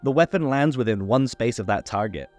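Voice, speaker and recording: A man's voice: mid-range voice, animated, brisk, darkish tone, faint music behind. A man hosting a tabletop game tutorial, reading a scripted rules explainer.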